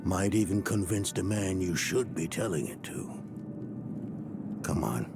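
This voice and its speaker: A man playing a weary, skeptical scholar-mage in a video game, gravelly and reserved, with dry, understated delivery. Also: sits low, lively intonation, unhurried, full character voice. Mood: wry. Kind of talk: dramatic video game dialogue. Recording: loud music under the dialogue.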